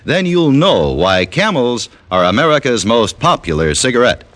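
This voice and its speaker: A man reading a measured, persuasive commercial in old-time radio announcer style. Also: medium pitch, big pitch swings, fairly bright tone, no room sound, faint music behind. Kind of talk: 1950s scripted radio ad read.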